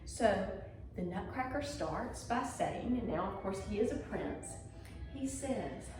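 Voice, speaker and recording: A woman's voice: medium pitch, lively intonation, slow, music in the background. A woman giving an instructional dance lesson on video, explaining simply step by step and prompting pupils with encouragement. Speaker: dance teacher. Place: indoor room at home. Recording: very echoey room.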